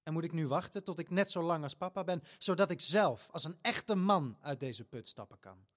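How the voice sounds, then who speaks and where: An actor playing a gloomy, resigned teenage boy in a musical family audio play, moping and deadpan. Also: medium pitch, moderate pace, natural voice. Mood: sullen.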